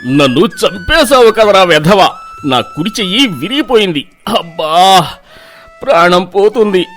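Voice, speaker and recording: An imperious village landlord from a storybook, acted by a man. He is commanding and quick to threaten, with a highish, very animated delivery and a faint music bed. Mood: pained, furious. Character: imperious, superstitious, hot-tempered male village landlord.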